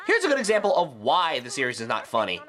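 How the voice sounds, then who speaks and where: A man narrates a critical video review with a bright, forceful voice. His pitch swings widely as he rants, sarcastic and exasperated throughout. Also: huge pitch swings, fast talker.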